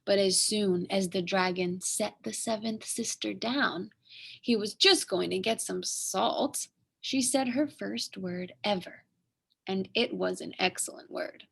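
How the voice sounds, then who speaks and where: A woman reading a picture book aloud to children as an expressive, dramatic storyteller with emphatic pauses. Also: medium pitch, gravelly, breathy edge.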